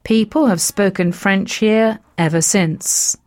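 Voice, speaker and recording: A woman narrating an educational piece, reading facts in a measured, list-like way. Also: medium pitch, darkish tone.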